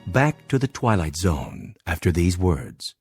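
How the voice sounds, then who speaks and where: Male announcer, lower register, formal scripted credit read for radio drama, faint music underneath. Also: wildly swooping pitch, a little slow, vocal fry.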